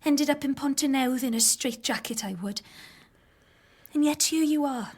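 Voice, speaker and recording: An actress playing a warm, earnest young pregnant doctor's wife in a naturalistic radio drama, with a breathy voice. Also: female voice, sits high, normal speed, lightly acted. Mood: affectionate. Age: young adult.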